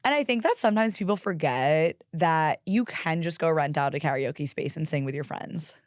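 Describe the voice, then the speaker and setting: A young woman with a raspy, hoarse voice talks candidly and with some profanity as the host of a solo podcast. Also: deep voice, moderate pace, no echo, clean studio sound. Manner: candid, profane, animated with laughs. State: hoarse from karaoke singing.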